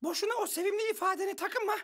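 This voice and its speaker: Çok tiz sesli, bıçkın yavru kuş. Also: female voice, very high-pitched, very fast. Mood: groggy, indignant. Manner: indignant, questioning, exclamatory. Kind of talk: animated cartoon acting. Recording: loud music under the voice.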